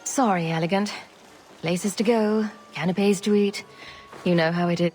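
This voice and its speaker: A woman's voice: fairly low pitch, fairly even intonation, moderate pace, a little mellow, soft background music, natural voice. A woman voicing a sardonic, confident noble adventurer in a video game, with a dry, wry delivery. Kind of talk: video game cutscene acting.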